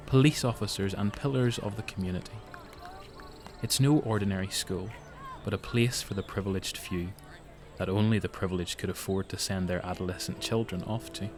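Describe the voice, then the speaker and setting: A man with a Northern Irish accent giving scripted, dramatic narration for an audio drama. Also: fairly low pitch. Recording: noticeable background noise.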